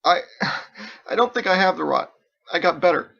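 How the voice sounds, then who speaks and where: A man's voice: high voice, expressive, normal speed, vocal fry, a bit bright, muffled recording, pitched above the performer's own voice, heavily acted. A man voicing a frightened, guilty young deckhand with an anxious, pleading manner in a story reading. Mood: scared pleading.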